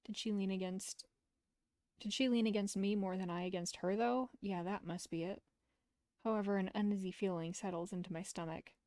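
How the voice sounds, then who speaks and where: A woman with a dark, mellow voice at a middle pitch, streaming a visual novel read-aloud. She narrates and slips into casual asides, recorded close and very dry. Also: steady tone, normal speed, no room sound.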